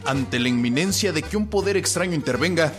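A man's voice: on the high side, expressive, brisk, over music, close to an everyday voice. An actor playing a firm, formal male revolutionary army spokesman in a radio drama.

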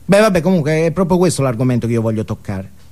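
Male actor as neurotic confessor: self-absorbed, ashamed, stammering, mellow middle-pitched voice, comic theatre monologue. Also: lively intonation, lightly acted. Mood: awkward, confessional. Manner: halting, stammering, repeating words, self-justifying.

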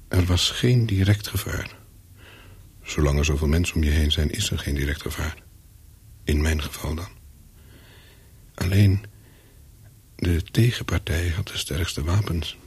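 A hunted spy courier in a radio thriller, with a low and very husky voice. He narrates in the first person, cool and calculating, in short deliberate sentences. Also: a little mellow, full character voice. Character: hunted male courier of smuggled microfilms, wary, coolly calculating.

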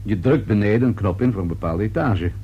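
A male police inspector in a radio play. He speaks in a fairly low, raspy voice, asking short questions with dry patience. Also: a little fast, muffled recording. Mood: probing, terse. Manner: terse, clipped questioning.

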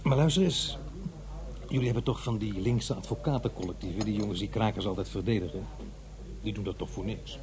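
A man's voice: lowish voice, fairly quick, crowd noise, natural voice. An actor playing a practical, sceptical middle-aged divorced father in a Netherlands Dutch radio play, both talking and narrating. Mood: probing, defensive.

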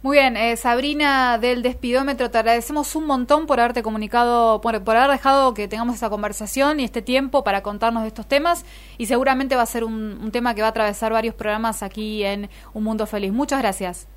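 A woman's voice: on the high side, steady tone, a little fast. A woman with an Argentine accent hosting a radio programme in a conversational style. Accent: Argentine Spanish. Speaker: radio host. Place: radio studio.

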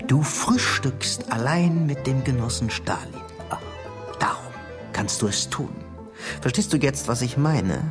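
An actor playing a middle-aged male Soviet secret police chief in a radio drama, genial and persuasive, confiding with quiet menace. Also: mid-range voice, a little slow, music in the background, close to an everyday voice. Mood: confiding, coaxing.

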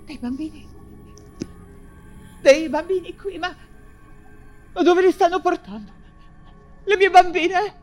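An actress playing an anguished, ailing woman writer imprisoned in a camp, in a halting, fevered theatrical monologue. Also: extremely high pitch, soft background music. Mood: fearful, confused. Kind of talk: dramatic stage monologue in Italian theatre.

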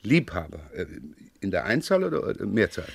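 An actor playing a polite but persistent, dryly sceptical police inspector in a German crime radio play, unhurried and given to hesitant repetitions. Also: male voice, lowish voice, very animated, creaky, no room sound, clean studio sound, full character voice. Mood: politely probing.

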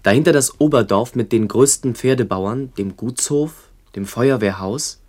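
A man narrating in a radio play as a sober chronicler of a remembered village, measured and descriptive. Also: medium pitch, a little mellow, lightly acted. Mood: sober, evocative.

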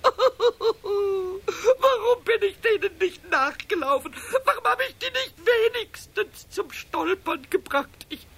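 A cheeky little male kobold in a 1970s radio play. His voice is very high and squeaky, stumbling over words and repeating them, and it drawls along slowly. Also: animated, pushed and bright, full character voice. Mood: upset.

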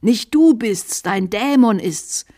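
Lady reading as creepy old bishop guy, quavery shrill voice, fawning and fanatical, audiobook style. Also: measured pace, no echo, clean studio sound, lightly acted. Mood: shrill, commanding. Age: older. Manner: wheedling or fiercely commanding. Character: old bishop, fawningly tender, then shrieking with zealous malice.

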